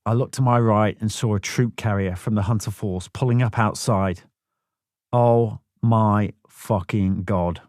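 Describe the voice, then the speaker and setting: Man, audiobook narrator, plain-spoken, swears freely, mid pitch, dry studio sound. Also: darkish tone, no room sound. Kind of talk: first-person memoir narration.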